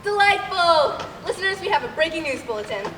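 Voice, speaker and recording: A woman's voice: extremely high pitch, very animated, fairly quick. A young woman playing a pompous, domineering radio playwright and announcer, grandiose and bossy, in theatrical stage comedy. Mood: flustered formality. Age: young adult. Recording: roomy noisy stage hall, far mic.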